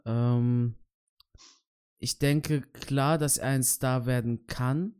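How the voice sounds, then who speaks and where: A man's voice: mid-range voice, fairly even intonation, very slow, darkish tone, clear, resonant tone. A man co-hosting a casual podcast about martial arts, talking in loose chat with lots of fillers and restarts. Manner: hesitant, many fillers and restarts. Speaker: podcast co-host.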